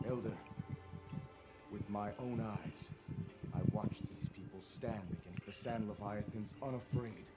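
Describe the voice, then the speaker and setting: Actor as an earnest, lowish-voiced villager, loud music. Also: male voice, measured pace, lightly acted. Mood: earnest, pleading. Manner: earnest, solemn. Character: earnest villager pleading with his elder.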